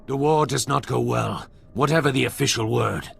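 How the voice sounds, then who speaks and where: A cold Sith lord from a video game. The voice is husky and heavy with gravel, and he hands down each sentence as an imperious, deliberate pronouncement, full of threat. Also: medium pitch, heavily acted. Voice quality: raspy, gravelly. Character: cold menacing male Sith lord, a ruthless military commander.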